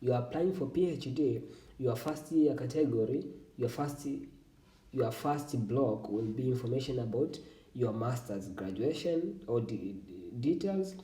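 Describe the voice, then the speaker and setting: A man from Tanzania whose first language is Swahili, hosting a walkthrough of a scholarship application form in halting, self-correcting English. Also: mid-range voice, expressive, warm tone, reverberant, distant mic, low-quality recording. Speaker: scholarship tutorial host. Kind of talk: screen-recording walkthrough.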